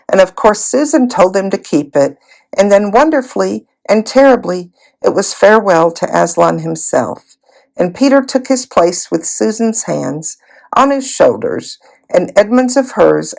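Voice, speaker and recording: Creaky-voiced woman reading a story, unhurried. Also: mid-range voice, expressive, a little mellow, rough recording. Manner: acts out dialogue in character voices. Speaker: volunteer storybook reader. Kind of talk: expressive read-aloud of a children's fantasy novel.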